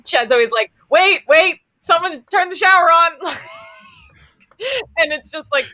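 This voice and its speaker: A woman's voice: very high-pitched, animated, a little slow, pushed and bright, dry recording, dull audio. A woman who works as a voice actor, chatting casually in loose, informal phrases. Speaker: voice actor on the show. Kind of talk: casual chat.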